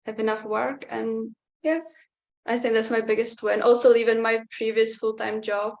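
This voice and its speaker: A young woman speaks in a level, even tone with little rise and fall. She talks hesitantly, leaning on filler words. The sound is that of a video call. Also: on the high side, moderate pace, gravelly. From Czech Republic. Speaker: interview guest, client. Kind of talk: casual testimonial chat.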